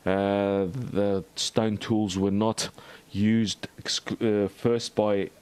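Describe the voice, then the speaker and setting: Rambling, lowish-voiced man with an Australian accent. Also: level tone, drawn-out words, darkish tone, clear, resonant tone. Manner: rambling and hesitant, full of ums, restarts and asides. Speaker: archaeology channel host. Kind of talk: casual vlog commentary reading out a study.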